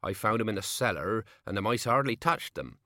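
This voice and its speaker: A man's voice: lowish voice, sing-song, fairly quick, bright, forceful tone, no room sound, clean studio sound. A man voicing a humble, embarrassed old peasant in a story, with timid, mumbling rustic speech.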